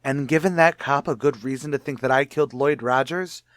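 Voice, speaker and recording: An actor playing a cornered husband with a false identity in a radio drama, earnest and self-justifying. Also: male voice, medium pitch, fairly quick, no echo, clean studio sound, lightly acted. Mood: desperate, pleading. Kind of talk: old-time radio drama acting.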